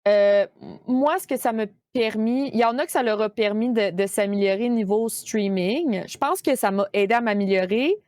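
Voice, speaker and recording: A woman's voice: medium pitch, moderate pace, a little mellow, no echo, clean studio sound. A woman with a Québécois French accent, chatting casually as a guest streamer, with many fillers and restarts. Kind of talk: casual podcast chat.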